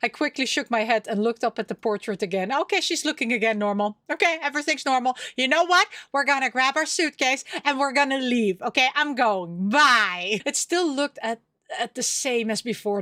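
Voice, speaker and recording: A woman hosting a gaming video, reading game text aloud and reacting in a playful, expressive way as she chatters to herself. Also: a little high, brisk, no room sound, studio quality.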